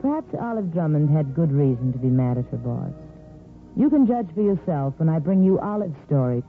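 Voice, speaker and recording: A woman's voice: low voice, sing-song, moderate pace, soft background music. An actress as a knowing woman storyteller, narrating a 1950s radio soap opera with dramatic phrasing. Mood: confiding. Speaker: storyteller host. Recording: tinny 1950s radio recording.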